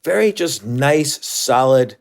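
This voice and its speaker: A man's voice: mid-range voice, animated, takes it slowly, creaky. A man delivering a sponsor read in a casual, personal, conversational style. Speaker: host reading a sponsor message.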